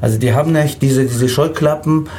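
A man's voice: medium pitch, brisk, darkish tone, slight background noise. A middle-aged man telling personal stories in an interview, hesitant and often repeating words. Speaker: interview guest, amateur actor. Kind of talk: conversational personal storytelling.

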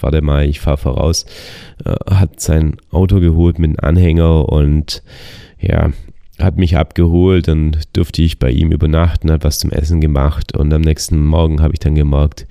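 A man's voice: rumbling low, little pitch movement, normal speed, vocal fry, dark tone, clean tone, no echo, studio quality. A young man recounting his bicycle trip in a casual, rambling way, with many fillers and restarts while he gets over the flu. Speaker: travel vlogger on a bicycle tour. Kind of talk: casual spoken travel diary.

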